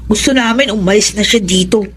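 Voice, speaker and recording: A woman's voice: mid-range voice, brisk, slightly rough, lightly acted. A woman acting a petulant but affectionate young student sister, plaintive and girlish, in a radio drama.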